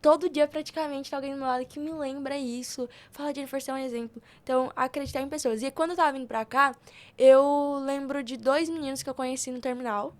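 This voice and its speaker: A young Brazilian woman with a high-pitched voice, speaking as a guest on a podcast. She tells stories informally, slipping into quoted dialogue as she goes. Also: steady tone, fast. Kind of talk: casual podcast conversation, personal storytelling.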